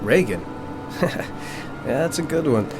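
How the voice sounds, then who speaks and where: A man's voice: medium pitch, expressive, slow pace, noisy background. An actor playing a married businessman and father who grows bewildered, in a radio drama. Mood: casual, puzzled.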